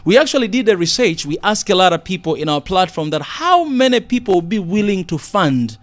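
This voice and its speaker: High-voiced earnest Tanzanian man. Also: big pitch swings. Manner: earnest, repeats for emphasis, quick agreement. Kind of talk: passionate conversation.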